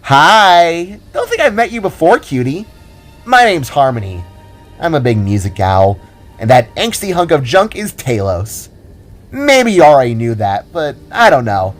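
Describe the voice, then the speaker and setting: A man plays a cheerful female spark in an audio drama, pitched up a little. The manner is breezy, chatty and playfully teasing, with music in the background. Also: sing-song, measured pace.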